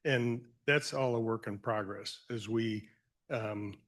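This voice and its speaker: A man's voice: on the low side, slow, fairly bright tone. A man leading a local agency who answers questions at a county meeting. He speaks in a hesitant way, with fillers and restarts. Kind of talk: public meeting testimony. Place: county commission meeting room.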